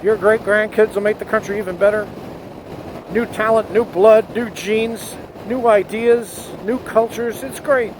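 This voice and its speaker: Motovlogger, male, high voice, earnest and fervent, helmet mic with wind noise. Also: steady tone, measured pace. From United States. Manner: earnest, rising to patriotic fervor. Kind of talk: spoken essay to camera. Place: on a motorcycle outdoors. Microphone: helmet headset mic.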